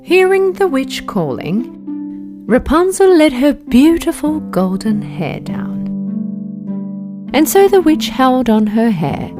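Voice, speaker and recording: A woman reading a children's fairy tale in an expressive, measured storybook manner. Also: high voice, over music.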